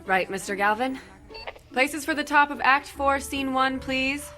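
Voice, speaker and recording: A businesslike young stage manager calling the cast to places, in a high, bright voice. Faint music sits behind her. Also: a little slow. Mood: brisk. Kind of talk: radio drama acting.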